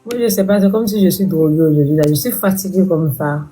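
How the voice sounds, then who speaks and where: A woman's voice: on the low side, fast, dark tone. A woman hosting a casual live stream, stuffy-nosed from a cold, chatty and teasing as she asks viewers for gifts.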